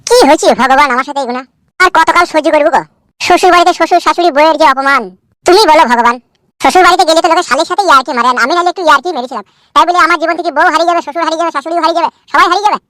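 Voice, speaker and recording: Very high, whiny cartoon son-in-law. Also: male voice, racing, sharp tone, dry recording. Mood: self-pitying lament. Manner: pleading, self-justifying, foul-mouthed.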